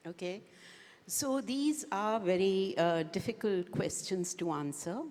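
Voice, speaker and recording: A woman answering as a conference panelist, speaking thoughtfully with many pauses and fillers. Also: medium pitch, darkish tone. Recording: echoey conference hall.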